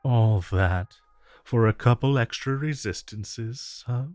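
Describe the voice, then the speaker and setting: A man playing a suave, flirtatious supervillain in a romantic audio roleplay, talking in a smooth, teasing, unhurried way with drawn-out pauses. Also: medium pitch, a little mellow, no room sound, clean studio sound, soft background music, close to an everyday voice. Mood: reluctant, wry. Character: suave, flirtatious male supervillain visiting his tailor. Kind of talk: romantic audio roleplay acting addressed to the listener.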